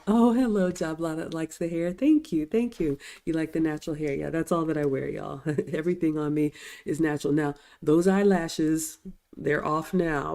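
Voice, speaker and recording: A low-voiced, middle-aged woman with a slightly raspy edge, still getting over a cold. She hosts a casual livestream, chatting away and answering viewer comments in a warm, mellow tone. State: recovering from a cold. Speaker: livestream host and etiquette teacher.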